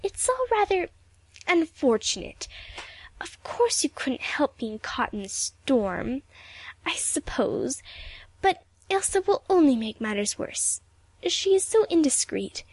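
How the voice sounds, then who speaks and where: Woman voicing a high, wheedling schoolgirl. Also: monotone, brisk, few pauses, darkish tone, dry recording. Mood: sweetly insinuating. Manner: wheedling, stammering, sniffling. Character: sly schoolgirl, falsely gentle, crumpling into tearful pleading.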